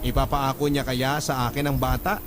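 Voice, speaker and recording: Brief: actor reading as a guilt-ridden husband, confessional letter narration, heavy music bed. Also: male voice, medium pitch, flat delivery, moderate pace, lightly acted. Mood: worried. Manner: worried, confessional. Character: worried married man confessing in a letter. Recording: loud background music score.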